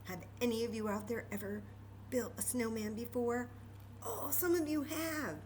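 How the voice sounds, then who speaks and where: A woman's voice: a little high, normal speed, a little mellow, a little hiss or hum. An American woman hosting a children's storytime, speaking expressively and directly to young listeners, mixing read-aloud with chatty asides. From Texas, United States. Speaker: storytime reader and host.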